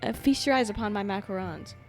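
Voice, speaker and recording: A woman's voice: mid-range voice, fairly quick, a little mellow. A young woman livestreaming a game, commenting casually and playfully, exclaiming often and reading dialogue aloud. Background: game music.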